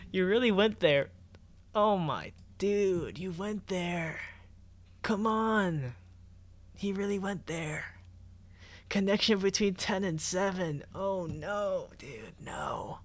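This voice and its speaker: A man's voice: high-pitched, animated, slow. A man chatting casually on a livestream in an informal, slangy way as he reacts to what he reads. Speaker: game streamer. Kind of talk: casual livestream chat reacting to forum posts. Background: background music.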